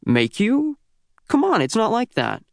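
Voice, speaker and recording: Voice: mid-range voice, wildly swooping pitch, a little slow, no echo, clean studio sound, pitched above the performer's own voice. A man voicing a geeky teenage schemer for an audiobook, awkward and evasive, persuading in a casual, hedging way. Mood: wheedling, defensive.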